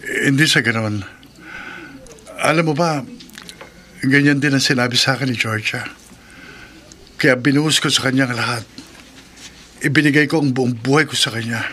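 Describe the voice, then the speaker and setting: A man acts as a bewitched, wounded Filipino husband in a radio horror drama. His voice is mid-pitched with a slight creak and a forceful edge, over faint background noise. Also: normal speed, pauses often, lightly acted. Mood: wounded, pleading. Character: heartbroken married man under an enchantress's spell.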